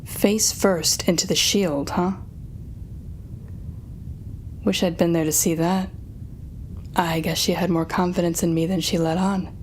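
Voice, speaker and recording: A woman's voice: on the low side, steady tone, darkish tone, slight background noise, lightly acted. A woman playing a sardonic, smug telepathic supervillain, taunting and theatrical as she speaks to the listener in an audio roleplay drama. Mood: wry, teasing. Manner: taunting, theatrical, drawn-out teasing phrasing.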